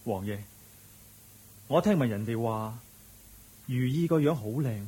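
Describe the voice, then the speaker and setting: A humble, husky Cantonese young suitor. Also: male voice, mid-range voice, animated, unhurried, warm tone. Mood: timid, smitten. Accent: Hong Kong Cantonese. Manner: hesitant, deferential, earnest. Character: humble, penniless, lovestruck young man. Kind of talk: Cantonese radio drama acting.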